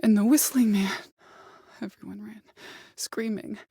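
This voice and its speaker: An actress playing a vengeful, bitter middle-aged mother in a video game, commanding and threatening, with deliberate delivery and cold control. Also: medium pitch, steady tone, gravelly, dark tone, husky, no room sound, studio quality, heavily acted. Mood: pained recollection. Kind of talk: dramatic video game voice acting.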